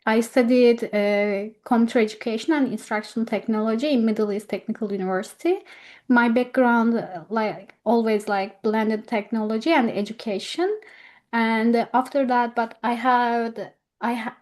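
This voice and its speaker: A young woman from Turkey speaking non-native English with a Turkish accent, halting as she searches for words while telling her story as an interview guest. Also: a little high, steady tone, darkish tone. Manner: hesitant, halting phrasing with many word searches. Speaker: guest speaker, education technology professional. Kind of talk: personal account in an online interview.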